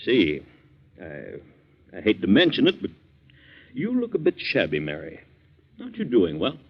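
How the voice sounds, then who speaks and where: A man's voice: fairly low pitch, huge pitch swings, a little slow, husky, heavily acted. An actor playing a wealthy, stern but kindly businessman in a 1940s radio drama, speaking in an emphatic, formal way. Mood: guarded, shaken. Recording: muffled vintage 1940s radio recording.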